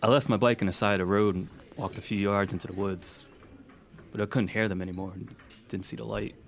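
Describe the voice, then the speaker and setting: A man's voice: lowish voice, steady tone, a little fast, creaky, a little hiss or hum. A man playing an easygoing, plain-spoken young guy in a naturalistic audio drama, talking casually. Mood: confiding. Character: easygoing young man confiding past events.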